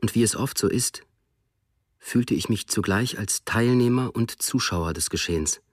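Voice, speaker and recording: A man with a fairly low voice narrates a soldier's memoir in the first person. His tone is grave and inward-looking, recorded close and dry with no room sound. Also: moderate pace, dry recording.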